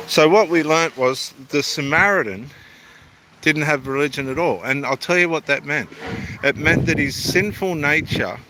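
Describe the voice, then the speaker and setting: A man's voice: medium pitch, pushed and bright, a little hiss or hum, low-quality recording. A middle-aged Australian man, an evangelist and theologian, talking casually and meanderingly to camera at a building site by the water. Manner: meandering, self-deprecating, homely. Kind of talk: casual rambling talk to camera.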